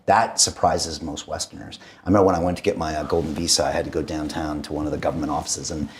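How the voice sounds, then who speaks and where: A man's voice: rumbling low, fairly even intonation, quick pace, a little hiss or hum. A man hosting an interview, talking casually and emphatically, often repeating words. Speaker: podcast host.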